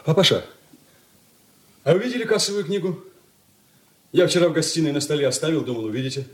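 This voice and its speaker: An actor playing an irritable young merchant's son in a radio drama, haughty and dismissive. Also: male voice, fairly high pitch, lively intonation, fast talker, a little hiss or hum, close to an everyday voice. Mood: irritated. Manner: dismissive, disdainful. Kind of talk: Soviet radio drama acting.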